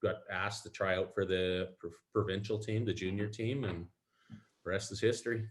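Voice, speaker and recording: Low-voiced man telling stories. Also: steady tone, normal speed. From Alberta, Canada. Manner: rambling, self-deprecating, frequent ums and restarts. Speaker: guest, club player. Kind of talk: casual storytelling interview.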